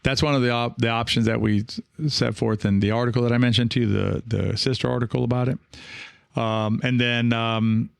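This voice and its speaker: A man hosting a casual solo podcast, talking in a rambling, reassuring way with frequent pauses and check-ins. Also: mid-range voice, steady tone, gravelly, clear, resonant tone, dry recording, clean studio sound. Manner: hesitant, rambling, reassuring, often checking in with "right?". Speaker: podcast host and experienced pickleball player. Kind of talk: casual solo podcast talk, reading and answering listener comments.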